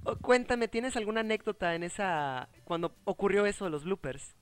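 A man in his thirties with a Mexican accent, chatting casually and excitably as a gaming show host, joking and repeating his phrases. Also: high voice, normal speed, clear, resonant tone, soft background music. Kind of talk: casual chat over gameplay.

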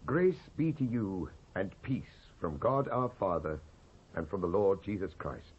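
Expressive British man reading scripture, slightly husky. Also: low-pitched, measured pace. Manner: solemn, declamatory. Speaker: Bible reader. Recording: muffled old cassette transfer.

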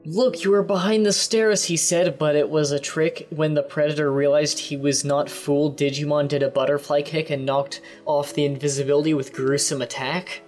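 A man hosting and reading a story aloud in an exaggerated, deliberate dramatic style. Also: a little high, flat delivery, darkish tone, faint music behind. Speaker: host and reader. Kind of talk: theatrical dramatic reading of fan fiction.

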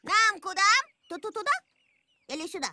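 An actor voicing a spirited, stubborn little female cartoon animal in an exclamatory, expressive way, for a children's show. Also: very high-pitched, normal speed. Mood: urgent. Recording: loud children's music behind. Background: cartoon music.

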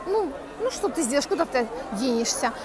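Woman vlogger, high voice, busy crowd and noise all around, outdoor market, phone with earphone mic, chatty asides to viewers. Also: big pitch swings, moderate pace. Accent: Russian-speaking, speaks Turkish with a foreign accent. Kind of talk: walking market vlog, chatting with sellers. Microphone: phone camera with earphone mic.

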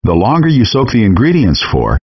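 A man narrates cooking instructions in a calm, measured, instructive manner, his fairly low voice moving with a lively lilt. There is a slight huskiness to his tone, and the game audio sounds dull and lo-fi. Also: breathy edge. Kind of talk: scripted instructional voice-over.

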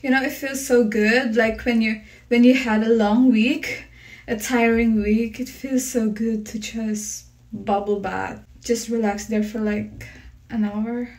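A young Filipino woman talks to her phone at arm's length, relaxed and chatty. Her voice sits a little high, and she slips easily into Tagalog phrases. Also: unhurried. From Philippines. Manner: chatty, soft and relaxed, drops into Tagalog words. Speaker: vlogger. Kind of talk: casual vlog chat. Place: bedroom and bathroom at home. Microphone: phone camera at arm's length.

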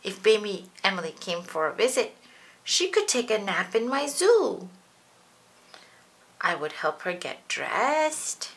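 A woman's voice: medium pitch, big pitch swings, takes it slowly. A woman voicing an eager, boastful little blue male monster in a storybook read-aloud. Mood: proud.

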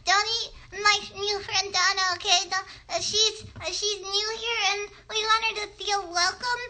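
A woman voicing an eager, excitable little girl puppet who chatters in a childlike way with hesitant ums, on a noisy live phone stream. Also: very high-pitched, moderate pace, pitched above the performer's own voice, exaggerated voice. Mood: pleading. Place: bedroom. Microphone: phone at arm's length.

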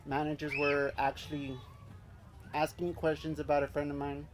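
A man's voice: a little high, completely flat delivery, normal speed. Man, late 30s, earnest personal testimony outdoors; loosely strung, heartfelt delivery. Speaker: speaker giving a testimony. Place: outdoor park event. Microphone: handheld mic on stand. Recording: faint outdoor ambience.

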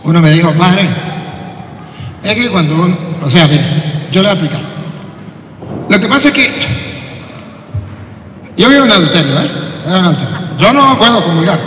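Priest preaching, high bright voice, echoey church from far off. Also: male voice, moderate pace, pauses often, slight background noise. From Venezuela. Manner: animated, acts out callers and quotes, swings from soft to shouting. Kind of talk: lively sermon. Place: large church, indoors. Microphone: handheld mic.

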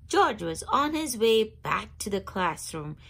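Female storybook reader, unhurried and expressive, husky edge, stretches key words for children. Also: mid-range voice.

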